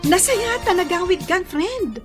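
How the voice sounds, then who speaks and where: A young woman with an Ilocano accent, the encouraging best friend of a radio drama. Her voice sits very high and moves with great animation. She talks in a chatty, supportive way. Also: animated, a little slow, music in the background. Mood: chatty, eager.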